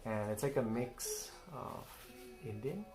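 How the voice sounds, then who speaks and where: A man's voice: mid-range voice, animated, takes it slowly, over music. A young man from Thailand co-hosting a casual family video, speaking hesitantly with lots of fillers and trailing off. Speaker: co-host of a family channel. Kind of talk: casual chat.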